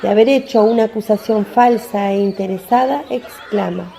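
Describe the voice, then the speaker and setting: A woman's voice: medium pitch, moderate pace, gravelly, dark tone. A woman giving scripted dramatic narration of a religious story.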